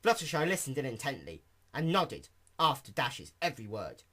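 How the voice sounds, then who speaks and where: A man's voice: medium pitch, big pitch swings, normal speed, a bit bright. A man reading a fan fiction story aloud as an amateur narrator.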